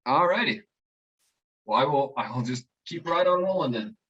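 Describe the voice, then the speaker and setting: A man giving a live online software walkthrough as a trainer, haltingly, repeating and restarting his phrases. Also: mid-range voice, lively intonation, breathy edge. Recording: muffled, distant mic, online meeting.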